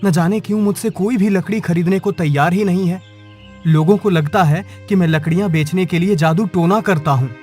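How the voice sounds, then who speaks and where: A man's voice: high voice, brisk, darkish tone, faint music behind, close to an everyday voice. A man voicing an honest, troubled village woodcutter in a cartoon moral tale. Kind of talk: Hindi cartoon story voice acting.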